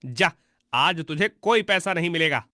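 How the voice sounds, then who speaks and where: A man acting a cruel, forceful cartoon landlord. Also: fairly high pitch, animated, moderate pace, no room sound, clean studio sound. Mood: angry, scolding. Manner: harsh, domineering, scolding. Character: cruel, hot-tempered male landlord bullying his farm servant. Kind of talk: Hindi cartoon story voice acting.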